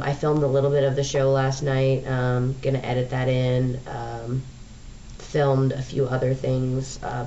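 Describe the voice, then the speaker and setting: A woman's voice: rumbling low, darkish tone, distant mic. A young woman with a stuffed-up, nasal voice from a sinus infection, chatting casually in a video diary with lots of ums. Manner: rambling, chatty, frequent ums. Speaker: touring show crew member vlogging. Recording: dull lo-fi audio from away from the mic, faint noise.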